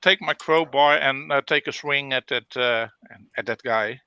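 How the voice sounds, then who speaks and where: Bright-voiced, unhurried man playing a tabletop game. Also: mid-range voice, smooth, no echo, muffled recording. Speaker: player in a tabletop roleplaying game. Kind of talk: casual chat during game play.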